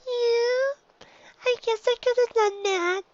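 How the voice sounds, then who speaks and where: Squeaky, very high little filly. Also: female voice, steady tone, takes it slowly, dry recording, lo-fi sound. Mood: meek. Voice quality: squeaky, childlike. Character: small earnest young filly, sweet and eager.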